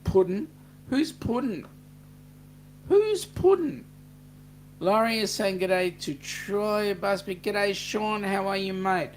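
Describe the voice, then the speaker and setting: A man's voice: high voice, lively intonation, slow pace, long pauses, a little hiss or hum. An Australian man hosting a livestream, reading out chat messages and greeting viewers by name in a chatty, jokey way. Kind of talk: casual chat.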